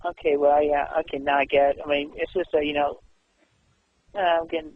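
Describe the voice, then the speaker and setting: A man talking casually on the phone in a halting, repetitive way. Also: a little high, level tone. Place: telephone call. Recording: tinny narrowband phone line.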